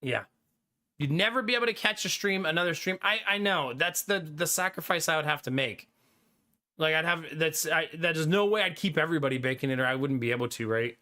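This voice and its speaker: A young adult man streaming live, chatting casually with his viewers, animated and talkative, often laughing. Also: fairly high pitch, fairly quick.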